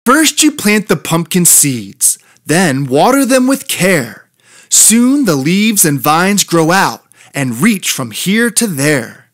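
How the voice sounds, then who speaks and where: A narrator reading aloud for young children with a deliberate, rhythmic sing-song delivery. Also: medium pitch, big pitch swings, no room sound, studio quality. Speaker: children's entertainer and story reader.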